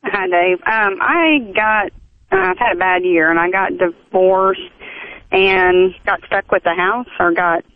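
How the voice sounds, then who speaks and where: A woman's voice: fairly low pitch, a little slow. Female phone-in caller, hesitant and rambling, casual tone, narrow telephone sound with no room echo. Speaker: radio show caller. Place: phone call to a radio show. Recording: narrow, tinny phone line.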